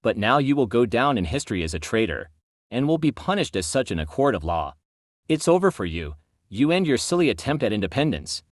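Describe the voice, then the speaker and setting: A commanding official envoy, played by a man, blunt and unbending as he lays down terms. His mid-pitched voice swings widely and the recording is dry and close. It sounds like an amateur audio drama. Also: very animated, brisk, no echo, natural voice. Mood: stern. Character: stern male government envoy delivering an ultimatum. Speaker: presidential representative.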